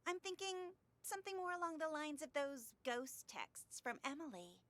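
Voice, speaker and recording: A woman's voice: high voice, very animated, moderate pace, fairly bright tone, no echo. A woman playing a scheming, ambitious and gossipy young actress in a radio drama, wheedling and conspiratorial. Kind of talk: interactive radio drama acting.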